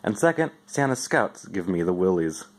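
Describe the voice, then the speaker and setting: A man with a fairly low voice giving an expressive amateur storybook reading in the first person. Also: normal speed. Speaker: amateur reader.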